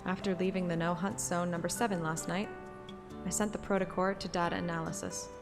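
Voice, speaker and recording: A woman's voice: on the low side, fairly even intonation, brisk, over music. A woman game streamer giving casual commentary and narration over a story game.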